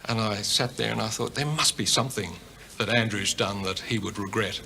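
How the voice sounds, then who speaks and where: A man's voice: lowish voice, normal speed, gravelly, noisy background. A man giving an emphatic interview tribute, building points through repetition. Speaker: interviewee, television presenter.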